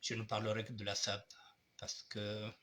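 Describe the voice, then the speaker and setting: A man's voice: fairly low pitch, monotone, normal speed, bright, forceful tone, a little hiss or hum. An older man hosting his show, speaking to his audience in a formal, repetitive way while he has a cold. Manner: addresses audience formally, repeats himself. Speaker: online show host. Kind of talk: monologue commentary.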